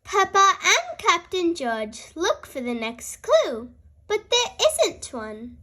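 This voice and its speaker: A young-sounding host reading a children's storybook aloud in a cheerful, expressive way, speaking directly to young listeners. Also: very high voice, slow. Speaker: host and reader of a children's read-aloud show.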